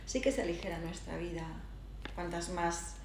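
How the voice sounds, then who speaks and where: A woman's voice: on the low side, fairly even intonation, a little fast, darkish tone, echoey room, slight background noise. A middle-aged woman from Galicia in Spain, talking reflectively about herself in a thoughtful, hesitant interview conversation, searching for her words.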